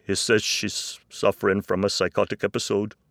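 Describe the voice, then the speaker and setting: Male narrator as anguished older dad, audiobook dialogue, raised pitch, emphatic and halting, close dry sound. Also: level tone, a bit bright, no echo. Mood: distressed, emphatic. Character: distraught older father breaking grave family news.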